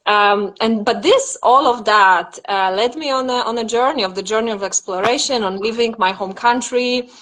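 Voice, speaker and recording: A woman's voice: a little high, moderate pace, few pauses, a bit bright, rough recording. A young woman, not an English speaker, telling her personal story in a casual interview, reflective and full of fillers and restarts. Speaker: dreamwork and yoga nidra teacher.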